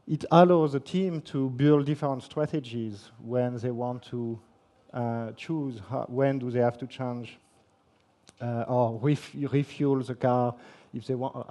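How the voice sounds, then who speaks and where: French man, conference speaker, mellow low-toned voice, halting delivery with fillers. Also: steady tone. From France. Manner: halting, many fillers and restarts. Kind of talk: technical conference presentation. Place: conference hall.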